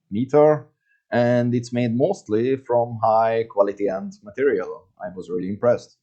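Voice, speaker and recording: A man's voice: on the low side, a little mellow, dull audio. A man from Hungary with a Hungarian accent, presenting a scripted video review in English as a non-native speaker. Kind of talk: scripted product review to camera.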